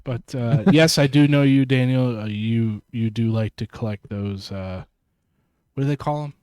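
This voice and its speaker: A man's voice: medium pitch, moderate pace, a little mellow, smooth, dry recording. Easygoing man in casual podcast chat. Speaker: podcast co-host.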